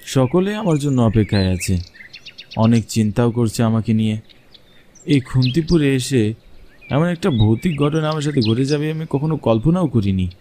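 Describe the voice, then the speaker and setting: Dark-toned man narrating horror, faint noise. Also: mid-range voice, lively intonation, moderate pace. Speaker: story narrator. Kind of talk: scripted dramatic horror narration.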